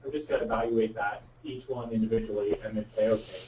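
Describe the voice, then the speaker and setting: A man's voice: lowish voice, steady tone, quick pace, a little mellow. A man giving candid, plain-spoken explanations as a guest expert on a web conference call. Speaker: guest speaker, insurance representative. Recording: roomy, distant mic with faint noise.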